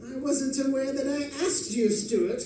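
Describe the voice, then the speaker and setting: An actor playing a gleeful, erudite criminal mastermind in an old-time radio drama, florid and condescending in manner. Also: male voice, very high voice, measured pace, crowd noise. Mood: smooth, menacing. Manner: theatrical, condescending, chuckling, florid. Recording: distant, very echoey live stage recording, faint noise.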